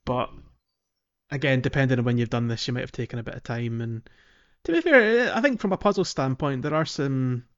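Male podcast host, mid-pitched, halting conversational game walkthrough, somewhat lo-fi sound. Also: lively intonation, clear, resonant tone. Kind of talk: casual video game walkthrough chat.

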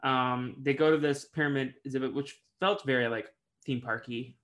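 A man's voice: medium pitch, steady tone, moderate pace, a bit bright. Hesitant young man co-hosting a podcast. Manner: hesitant, full of "um" and "like", trailing off. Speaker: podcast co-host. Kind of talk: casual chat.

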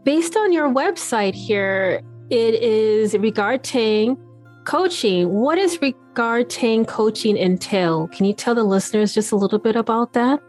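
A woman's voice: fairly high pitch, expressive, a little slow, a little mellow, faint music behind. A woman hosting a podcast, reading the guest introduction and asking questions in an upbeat, encouraging way. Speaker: podcast host. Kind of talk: podcast interview.

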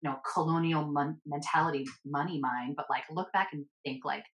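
A woman with a low voice talks fast and playfully, often slipping into acted-out quotes. She sounds like she is having a casual podcast chat. The room around her is echoey. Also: steady tone, fairly bright tone. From United States, Filipino family.

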